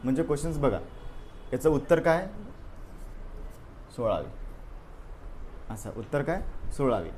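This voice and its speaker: A man's voice: mid-range voice, sing-song, unhurried, long pauses, darkish tone, slight background noise. A man teaching an exam review class, repeating answers and quizzing his students. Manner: drills answers through repetition and questions.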